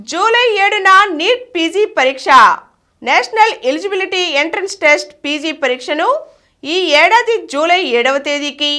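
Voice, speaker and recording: Casting: female news reader, high-pitched and bright, lively intonation through scripted bulletins.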